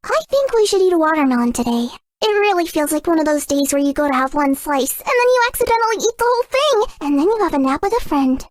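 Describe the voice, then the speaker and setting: Game role, young female kitsune: tiny sky-high girlish voice, earnest, playful, easily flustered, close dry sound. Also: very animated, fast talker, hardly any pauses, no echo. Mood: cheerful, eager. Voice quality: girlish, cutesy. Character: shy, sweet, excitable young female kitsune fox spirit. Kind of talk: video game character voice lines.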